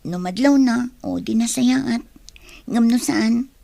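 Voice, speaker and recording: A young student in an audio drama, speaking in a fairly high voice. She is troubled, evasive and caught up in her thoughts. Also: takes it slowly, gravelly, warm tone, no room sound. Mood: troubled, resigned. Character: young female student, troubled and evasive about fading love. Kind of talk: Filipino radio drama acting.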